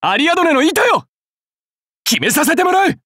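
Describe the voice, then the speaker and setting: A man voicing a young Greek hero swordsman, gentle and dutiful yet hesitant, in anime game battle lines, with a breathy voice. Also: extremely high pitch, very animated, normal speed, no echo, clean studio sound, full character voice. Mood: fervent, commanding. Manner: soft-spoken, polite, turning to fervent battle cries.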